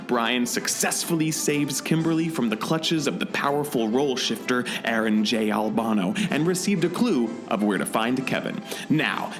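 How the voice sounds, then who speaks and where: Male radio serial announcer over music. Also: mid-range voice. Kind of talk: scripted old-time radio serial announcing.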